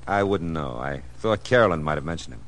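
An American actor playing a wry, world-weary private detective in a clipped, hardboiled 1940s radio style. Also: male voice, very deep voice, lively intonation, brisk. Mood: dry, probing. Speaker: lead actor and narrator. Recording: old radio show with music.

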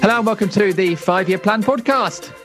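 A tired man, hosting a casual podcast chat with quick asides and easy laughs. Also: high-pitched, music in the background. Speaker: podcast host.

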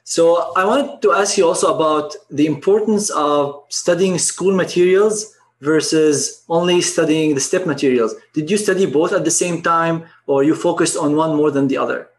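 A man hosting an interview channel, asking questions in a friendly, brisk way. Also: fairly high pitch, a little hiss or hum. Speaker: podcast host, interviewer. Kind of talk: scripted-sounding interview questions.

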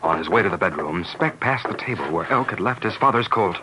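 A man's voice: sits low, animated, brisk, noisy background. A man narrating an old western radio serial as a grave storyteller. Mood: grave, suspenseful. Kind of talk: 1950s radio scripted narration.

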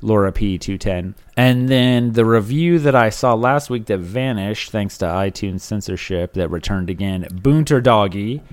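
Joking man co-hosting a chat podcast. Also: fairly low pitch. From Alabama. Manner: joking, quick banter, reads listener reviews aloud. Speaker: podcast co-host. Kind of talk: casual comedic chat.

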